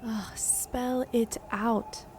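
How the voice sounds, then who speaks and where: An actress playing a wry, self-possessed adventurer in a video game, speaking in a dry, direct, sceptical way. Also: female voice, on the high side, steady tone, drawn-out words, background noise.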